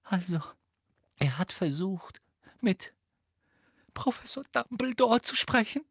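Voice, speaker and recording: A man voicing a tearful, desperate teenage schoolgirl with a choked, shrill, sniffling voice in an audiobook. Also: expressive, slightly rough, no echo, studio quality, full character voice. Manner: sobbing, halting.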